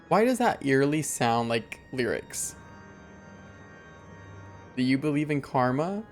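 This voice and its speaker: Guy streaming a story game, chatty off the cuff commentary, mid pitched voice, bit of music under it. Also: big pitch swings, measured pace. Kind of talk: casual live commentary.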